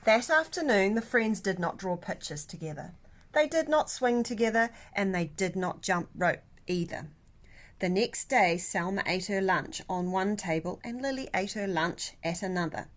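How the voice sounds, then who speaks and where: A woman reading a children's picture book aloud like a teacher, with deliberate, expressive emphasis. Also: mid-range voice. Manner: animated, deliberate, with dramatic emphasis.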